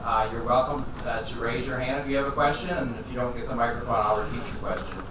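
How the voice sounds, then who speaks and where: A man's voice: mid-range voice, quick pace. A man moderating a lecture question session, hesitant, with many filler sounds. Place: lecture hall. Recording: very echoey hall recording from far off, with noise.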